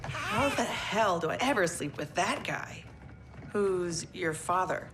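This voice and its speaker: An actress playing a tough, wry young female army officer with dry, deliberate delivery in a video game. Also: on the low side, lively intonation, background noise. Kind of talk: video game cutscene acting.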